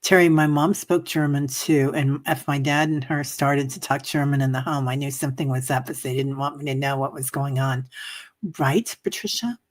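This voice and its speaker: Husky, very low-voiced woman chatting. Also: steady tone, moderate pace. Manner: chatty, rambling, many fillers. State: coughing, a bit hoarse. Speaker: livestream meditation host. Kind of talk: casual chat reading viewer comments. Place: home livestream.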